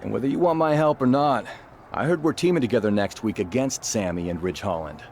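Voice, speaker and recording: A man playing a steady, protective veteran wrestler in a video game cutscene, speaking earnestly and frankly. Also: mid-range voice, fairly quick, slight background noise, close to an everyday voice. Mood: earnest, concerned. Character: steady veteran male wrestler, protective would-be tag partner.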